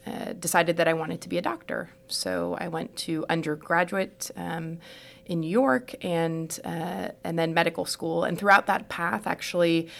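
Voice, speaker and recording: Creaky-voiced American woman, lowish pitch. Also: steady tone, normal speed, a bit bright. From Houston, United States. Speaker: podcast guest, trauma surgeon. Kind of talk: conversational personal narrative in an interview.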